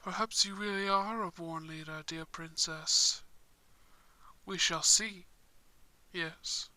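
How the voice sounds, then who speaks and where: Vampire prince played by a woman, suave, smug and courtly, very slow formal delivery, strikingly bright tone, roleplay audio. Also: fairly low pitch, steady tone, no echo, pitched below the performer's own voice, exaggerated voice. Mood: courtly, considering. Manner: formal, measured, suave phrasing. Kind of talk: scripted roleplay ASMR.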